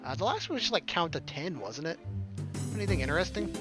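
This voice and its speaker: High-voiced man reading a visual novel aloud. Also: big pitch swings, fast talker. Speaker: game streamer reading aloud. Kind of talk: let's play narration with casual commentary. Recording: game music behind voice.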